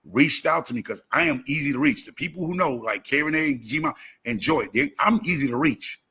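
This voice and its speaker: Bright, husky-voiced man in his fifties. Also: fairly high pitch, lively intonation, fairly quick. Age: 50s. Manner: talkative, emphatic, repeats phrases for emphasis. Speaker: YouTube gardening creator and live host. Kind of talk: casual livestream chat, motivational storytelling.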